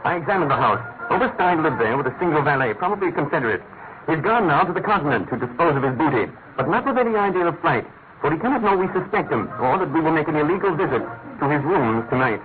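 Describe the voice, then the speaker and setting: Brisk, theatrical English detective. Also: male voice, on the high side, sing-song. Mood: explaining keenly. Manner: theatrical, precise, commanding. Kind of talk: classic British radio drama acting. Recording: tinny band-limited old radio recording, music behind.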